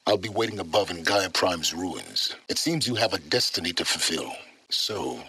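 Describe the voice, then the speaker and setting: An actor playing an arrogant, aloof older male scholar of ancient technology in a video game, speaking in a measured, formal, condescending way. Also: low voice, expressive, pushed and bright, husky, no room sound, exaggerated voice, rough recording. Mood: dry, condescending. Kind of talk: video game voice acting, dramatic dialogue.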